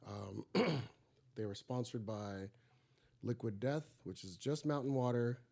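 A man from Egypt hosts a podcast from a studio and talks in a loose, joking, rambling way. His voice sits at an ordinary pitch for a man. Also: slow pace, gravelly, a little mellow. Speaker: podcast host. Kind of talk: casual podcast chat.

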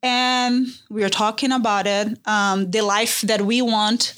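A woman's voice: medium pitch, steady tone, a little slow, fairly bright tone. A woman in her thirties from Brazil with a Brazilian accent, a podcast guest chatting in a studio. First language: Portuguese. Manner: friendly, chatty, simple phrasing. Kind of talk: casual interview.

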